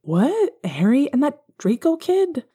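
Woman as a gossipy, high-pitched young father. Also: very animated, slow, dark tone, heavily acted. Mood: surprised.

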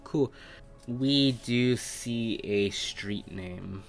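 A man narrating a tabletop roleplaying game as game master, improvising his descriptions in halting phrases. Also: mid-range voice, gravelly. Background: background music.

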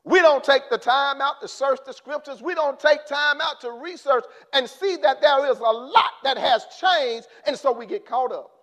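High-pitched preacher man, gets loud then drops down quiet. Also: moderate pace, hardly any pauses. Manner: impassioned, rising to shouts then dropping low. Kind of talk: sermon.

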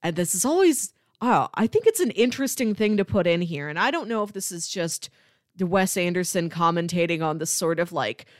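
A woman's voice: fairly low pitch, animated, brisk, dry recording, clean studio sound. A woman co-hosting a film podcast, talking casually and thoughtfully, often peppering her sentences with "like". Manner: thoughtful, conversational, frequent "like" filler.

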